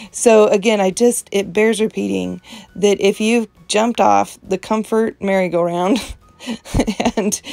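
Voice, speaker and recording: Gravelly-voiced woman, earnest and emphatic. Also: medium pitch, a little mellow, no echo. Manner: emphatic, earnest and chatty, with frequent laughs; strong-voiced and opinionated. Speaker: host of an art journaling channel. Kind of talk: casual devotional chat while art journaling.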